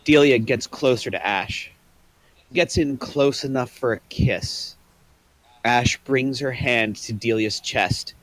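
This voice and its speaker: A man's voice: medium pitch, slow pace, fairly bright tone, no echo. A man reading the stage directions aloud in an online table read.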